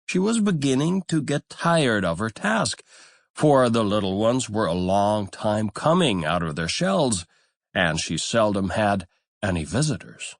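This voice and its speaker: A man narrating a fairy tale as a scripted audiobook, in a measured, expressive storytelling manner. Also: lowish voice, vocal fry, no room sound, clean studio sound.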